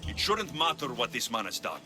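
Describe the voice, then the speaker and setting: A man's voice: mid-range voice, big pitch swings, a little fast, pushed and bright. A man playing a principled young mercenary in a video game, speaking directly and firmly. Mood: firm, earnest. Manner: direct, firm. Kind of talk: dramatic game cutscene dialogue. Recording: music bed behind voice.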